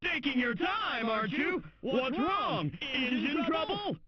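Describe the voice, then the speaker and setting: An actor voicing an excited, brash arcade racing game announcer in punchy, exclamatory short phrases, on a muffled game recording. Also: high-pitched, measured pace, crowd noise. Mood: teasing. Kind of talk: video game race announcer voice clips. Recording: muffled, lo-fi game sound rip.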